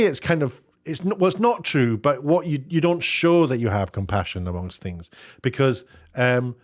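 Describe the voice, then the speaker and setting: A man giving informal opinion commentary, thinking aloud in a rambling, halting way as he goes. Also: medium pitch. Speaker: online commentator; former BBC worker. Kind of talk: off-the-cuff opinion commentary with read-out quotes.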